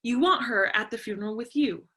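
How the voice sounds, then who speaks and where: A woman's voice: on the high side, brisk, sharp tone. A woman playing a grieving, defiant eighteen-year-old American daughter in a play reading, emotional and argumentative. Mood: defiant, accusing.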